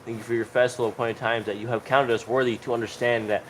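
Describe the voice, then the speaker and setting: A man's voice: medium pitch, level tone, fast, slight background noise, rough recording. A man leading a spoken prayer in a fervent, flowing voice, stringing phrases together one after another.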